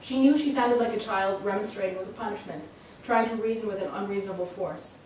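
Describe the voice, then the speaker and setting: Casting: female author at a live reading, narrating prose fiction, mid-pitched, recorded from afar in a reverberant room. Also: fast talker, a little mellow. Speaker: author reading at a literary salon. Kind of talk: live literary short story reading. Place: indoor salon event, recorded live. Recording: very echoey room, distant mic, faint noise.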